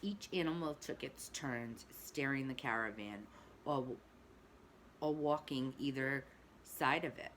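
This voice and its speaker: Slow, expressive teacher reading to kids. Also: female voice, very deep voice, slight background noise. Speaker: pre-K teacher. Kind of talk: children's read-aloud.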